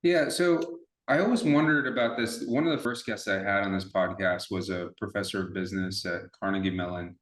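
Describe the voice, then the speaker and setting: A man hosts a podcast interview in a fairly low voice, asking long, rambling questions with restarts. His voice echoes in the room. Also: fairly quick, few pauses. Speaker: podcast host. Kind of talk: casual interview.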